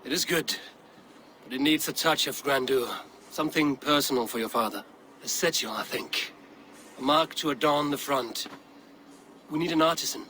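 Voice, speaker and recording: An actor playing a steady, commanding Viking warrior leader in a game cutscene. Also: male voice, a little high, normal speed, long pauses, slight background noise, poor audio. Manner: calm, commanding. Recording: game audio with ambient background.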